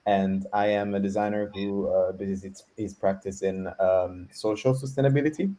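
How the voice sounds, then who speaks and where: Low, mellow man. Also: fairly even intonation. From Italy. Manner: measured, with thoughtful pauses. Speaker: designer, podcast host. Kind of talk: casual panel conversation.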